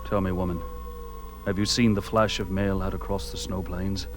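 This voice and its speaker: A man's voice: low-pitched, flat delivery, normal speed, lightly acted. A man playing a proud, fierce barbarian warrior in a fantasy audio drama, speaking in a declamatory, archaic heroic manner. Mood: proud, urgent. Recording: music under the voice.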